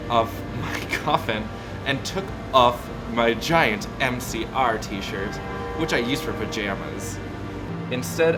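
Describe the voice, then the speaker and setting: Loud music plays behind a self-absorbed seventeen-year-old goth vampire girl acted by a man. The pitch is that of an ordinary male voice. The delivery is a theatrical comic reading of fan fiction, overwrought and abruptly swinging in emphasis. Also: lightly acted, rough recording. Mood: brooding, dramatic.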